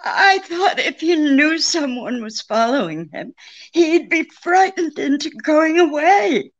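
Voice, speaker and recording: An actress playing a pleading, deceitful femme fatale in old-time radio drama style, halting and imploring. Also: female voice, high-pitched, lively intonation, dull audio. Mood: anxious.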